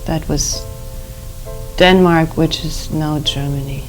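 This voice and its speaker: A woman under hypnosis as a client, answering questions in hesitant, halting fragments as if in a trance. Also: deep voice, fairly even intonation, soft background music, rough recording. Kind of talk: answering questions in a regression session.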